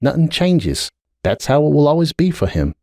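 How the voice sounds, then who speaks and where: Man voicing a guarded, brooding mare with lively pitch. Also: normal speed, creaky, no echo, studio quality. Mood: somber, pensive. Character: guarded, brooding middle-aged pony mare, a blunt aunt. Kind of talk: dramatic audiobook character reading.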